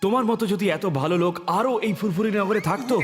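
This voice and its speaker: A man voices a gushing, gullible male official from a cartoon. He talks fast in a high voice, with long reverb around him and music behind. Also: close to an everyday voice.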